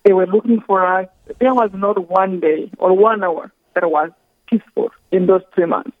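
A woman's voice: mid-range voice, steady tone, no echo. Woman, tinny phone line. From Rwanda. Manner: slow, earnest, halting with careful pauses. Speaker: guest. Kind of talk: phone interview, personal testimony.

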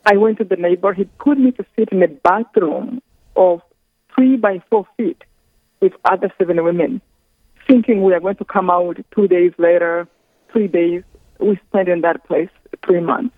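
A woman from Rwanda, speaking slowly and earnestly as a guest on a phone interview, often pausing mid-thought. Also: lowish voice, no echo. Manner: slow, earnest, halting with careful pauses. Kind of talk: phone interview, personal testimony. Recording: tinny phone line.

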